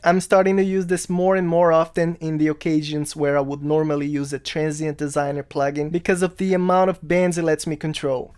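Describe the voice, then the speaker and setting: Man narrating a step-by-step software tutorial. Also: fairly high pitch, smooth. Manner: explanatory, step-by-step. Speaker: music production tutorial host.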